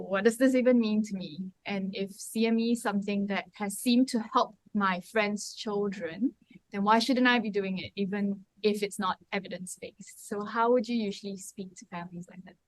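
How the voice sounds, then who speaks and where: A woman's voice: medium pitch, low-quality recording. A woman from Singapore, a therapist in a conference audience, politely explaining and asking a question.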